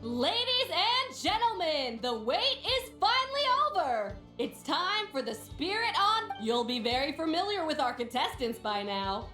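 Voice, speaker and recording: A grand female tournament announcer in an anime game. The voice is piercingly high, bright and full of swoops, proclaiming theatrically in a roomy echo. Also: very animated, measured pace, hardly any pauses, faint music behind, exaggerated voice. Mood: grand, excited. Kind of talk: anime video game voice acting.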